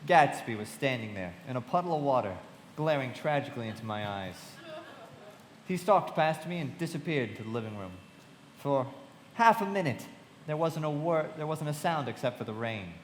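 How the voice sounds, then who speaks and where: A man playing a frank young storyteller in a live radio play, heard in a long, noisy hall reverb. His voice sits a little high and moves in lively swings as he delivers literary narration and dialogue. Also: moderate pace, close to an everyday voice. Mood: reflective, descriptive. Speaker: narrator and actor. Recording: long hall reverb, clear background noise.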